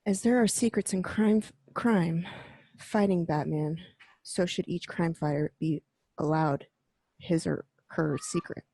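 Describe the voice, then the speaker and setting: Confident masked heroine, low gravelly voice, dark tone, dry sound. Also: female voice, steady tone, a little slow, dry recording. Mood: urgent. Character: confident young adult female masked crime fighter.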